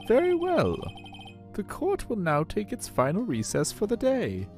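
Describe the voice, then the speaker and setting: A man putting on a high, flustered old judge. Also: wildly swooping pitch, measured pace, darkish tone, soft background music, heavily acted. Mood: stern. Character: elderly male judge, flustered and easily swayed. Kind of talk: fan voice acting of a game.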